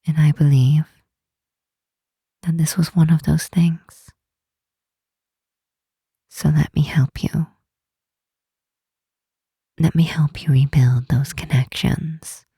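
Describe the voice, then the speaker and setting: A woman plays a powerful demon queen who is gentle, earnest and protective. Her low voice is very breathy and half-whispered, with a dark, mellow tone. She speaks slowly and tenderly, close and intimate, in an ASMR roleplay. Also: steady tone, no echo, heavily acted. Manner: slow, tender, solemn, with deliberate pauses. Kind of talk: ASMR roleplay, soft intimate monologue to the listener.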